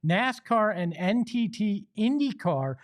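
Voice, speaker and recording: American man, fairly high voice, sports show host, emphatic, conversational delivery. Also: lively intonation, slow. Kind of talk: opinionated sports talk and host-read ads.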